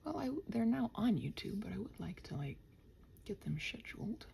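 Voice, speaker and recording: Creaky-voiced woman muttering in a casual ramble. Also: mid-range voice, very animated, normal speed, dark tone, slight background noise. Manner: muttering, self-deprecating, trailing off and thinking aloud. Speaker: audio roleplay and ASMR creator. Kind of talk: casual rambling to listeners while working.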